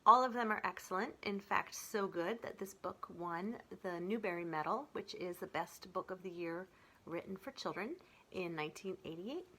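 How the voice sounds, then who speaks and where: A middle-aged woman presenting as a children's librarian and reading poetry aloud with clear, deliberate enunciation. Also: lowish voice, pushed and bright.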